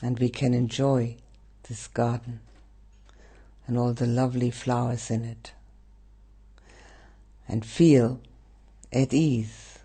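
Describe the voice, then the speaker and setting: Man, guided meditation, slow pace, soothing, gentle, gravelly fry, long pauses. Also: medium pitch, level tone. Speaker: meditation teacher.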